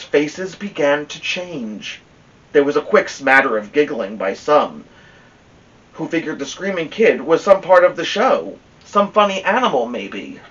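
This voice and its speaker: A man reading a children's novel aloud as an expressive storyteller, with dramatic pauses and drawn-out emphasis on key words. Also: medium pitch. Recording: dull lo-fi sound, distant from mic.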